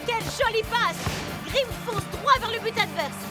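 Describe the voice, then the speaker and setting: An actress playing an excited female football commentator in an animated cartoon. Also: very high voice, unhurried. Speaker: sports commentator. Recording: loud music behind.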